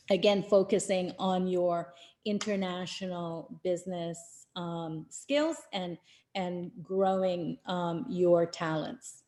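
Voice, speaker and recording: A woman's voice: lowish voice, darkish tone. A woman co-hosting an online webinar as a business professor, talking casually with many fillers over a video call. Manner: chatty, many fillers and restarts.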